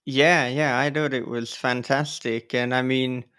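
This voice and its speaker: A man's voice: medium pitch, steady tone, measured pace, a bit bright, smooth. A man hosting a podcast, interviewing in a casual, chatty and slightly wry way.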